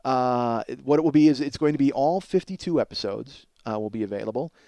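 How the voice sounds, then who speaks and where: A man in his forties hosting a comedy podcast, rambling in an animated, profane monologue and often cutting himself off. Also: mid-range voice, fairly quick, dry recording. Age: 40s. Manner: animated, emphatic, self-interrupting riffing.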